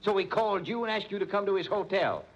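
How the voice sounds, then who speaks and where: A gruff police chief from a forties radio mystery. He is sarcastic and commanding, with a fairly high, husky voice and very animated intonation. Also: fast talker, highs cut off, heavily acted. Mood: firm, accusing. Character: brusque, wry male police chief in command. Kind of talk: 1940s radio crime drama acting.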